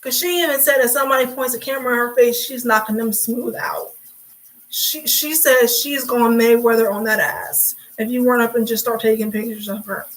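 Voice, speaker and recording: Sassy hoarse young woman, slightly high voice. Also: fairly even intonation, moderate pace, rough recording. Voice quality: raspy. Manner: sassy, chatty, calls viewers sweetie and honey. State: hoarse from talking for hours. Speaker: livestream host. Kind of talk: casual livestream chat.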